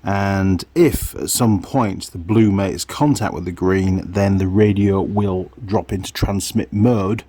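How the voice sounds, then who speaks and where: A man with a husky voice giving casual hands-on workshop commentary, muttering to himself and his viewers as he works. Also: fairly low pitch, darkish tone, dry recording. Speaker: vlogger, hobby mechanic.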